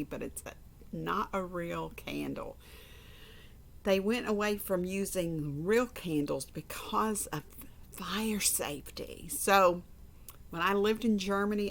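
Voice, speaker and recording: A woman's voice: on the low side, vocal fry. A woman reading a storybook aloud to children, informal and conversational, with chatty asides and repeated phrases. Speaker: storybook reader.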